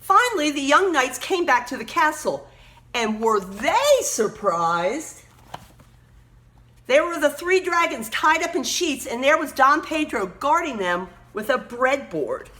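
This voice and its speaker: A woman reading a children's story aloud expressively, emphatically pausing to point out words and pictures. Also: sits high, sing-song, pushed and bright.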